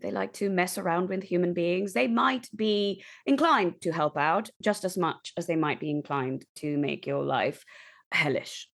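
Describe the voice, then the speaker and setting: Female tabletop player, on the low side with noticeable vocal fry, articulate and descriptive. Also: a little slow. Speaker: player in a roleplaying podcast. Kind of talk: improvised character description for tabletop roleplay.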